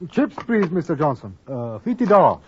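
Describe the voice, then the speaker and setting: A small, courteous Chinese gentleman in a fifties radio western. His voice is high and his English is formal and broken, with a Chinese accent. The old recording is dull and boxed-in. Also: very animated, moderate pace, a little hiss or hum. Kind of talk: 1950s radio western acting. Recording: muffled 1950s radio recording.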